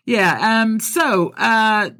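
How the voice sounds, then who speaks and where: A man's voice: sits high, very slow, bright, forceful tone. A man born in Texas and raised in Colombia co-hosts a podcast with his spouse, chatting casually with frequent laughs and fillers. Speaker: podcast co-host.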